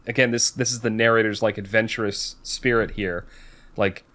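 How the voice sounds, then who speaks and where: A man with a fairly low voice talks through a book as a podcast co-host. His delivery is casual and halting, as he works out his explanation while he speaks. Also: steady tone, a bit bright. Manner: halting, thinking aloud as he explains.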